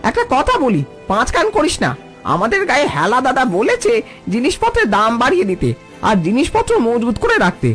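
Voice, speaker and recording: A man's voice: very high-pitched, wildly swooping pitch, a little fast, fairly bright tone, soft background music, exaggerated voice. A man voicing a greedy, callous village grocer in a folk-tale cartoon, scornful and scheming. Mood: gloating, scheming.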